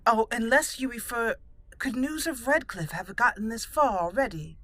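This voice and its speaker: A woman improvising in character as she plays a fantasy game, speaking haltingly and thoughtfully in formal phrasing. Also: on the high side, fairly bright tone, no echo. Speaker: gaming channel host, roleplaying player. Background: game music.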